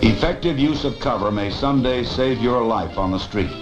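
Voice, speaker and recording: An American man reading a formal, measured, authoritative narration for a 1970s instructional training film. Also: medium pitch, dull audio. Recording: old 1970s film soundtrack, echoey, music. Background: music.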